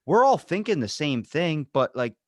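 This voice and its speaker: A man hosting a podcast, chatting casually and bluntly, swearing freely with animated asides. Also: on the high side, normal speed, no room sound, clean studio sound. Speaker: podcast host. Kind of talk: casual interview chat.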